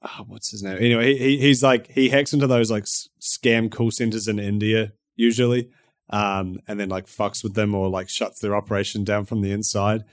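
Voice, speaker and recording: A man's voice: lowish voice, steady tone, normal speed, dry recording. A man with a New Zealand accent, chatting as a podcast guest, swearing often and laughing a lot. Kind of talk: casual chat.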